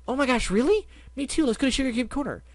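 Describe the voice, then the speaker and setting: A man's voice: extremely high pitch, expressive, fast talker, no echo, pitched above the performer's own voice. A man voicing a cheerful, party-loving male pony who gushes eagerly. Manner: eager and gushing.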